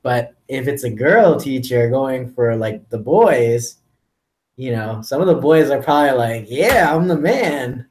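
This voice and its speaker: A man's voice: medium pitch, measured pace, a little mellow, slight background noise. A man co-hosting a podcast in casual, joking conversation. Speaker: podcast co-host. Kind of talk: casual chat.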